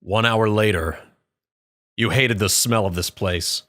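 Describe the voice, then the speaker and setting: Low-voiced male story narrator. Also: unhurried, over loud music. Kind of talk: scripted second-person story narration.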